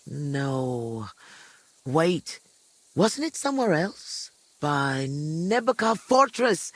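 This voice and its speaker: A woman's voice: low voice, dry recording, heavily acted. An actress playing a chatty, kindly old village granny in a video game, rambling and hesitating as she talks. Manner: rambling, hesitant recollection with frequent second thoughts. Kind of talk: video game dialogue acting.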